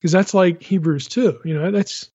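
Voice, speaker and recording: A man's voice: sits high, moderate pace, mellow, dry recording, muffled recording. A tired podcast host from Pennsylvania talking casually from his desk, rambling with many restarts and "you know" fillers. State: tired, low energy. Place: sitting at a home desk.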